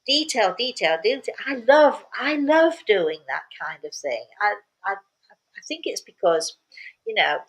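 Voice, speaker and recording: Older female medium, mid-pitched, chatty storyteller who leans on key words by repeating them. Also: animated, measured pace, rough recording. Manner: emphatic, repeats words for emphasis. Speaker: spiritual medium telling stories and answering questions. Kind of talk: casual storytelling talk.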